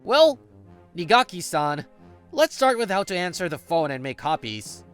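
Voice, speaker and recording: An easygoing male office senior, acted by a man in a manga dub, patiently guiding a junior. His delivery is conversational and animated, swinging widely in pitch. Soft music plays behind him. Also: moderate pace. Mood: friendly. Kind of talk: English manga dub, narration and dialogue.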